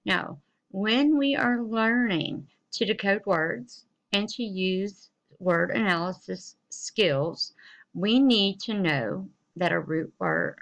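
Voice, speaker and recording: Unhurried woman teacher, lowish voice. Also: creaky, a bit bright. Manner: encouraging, explanatory, informal phrasing. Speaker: teacher giving a recorded lesson. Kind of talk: instructional lesson to students.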